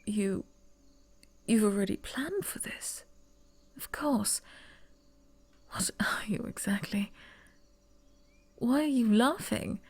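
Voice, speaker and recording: A woman voicing a young noblewoman who would be heir, whispering softly and stammering when flustered, in an ASMR roleplay. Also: mid-range voice, slow, long pauses, husky.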